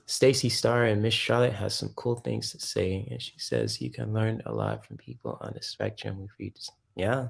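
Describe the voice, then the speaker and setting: A man's voice: fairly low pitch, animated, moderate pace, creaky, few pauses, breathy edge. Rambling laid-back man in his thirties. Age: 30s. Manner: rambling, heavy fillers. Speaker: host. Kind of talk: casual livestream chat.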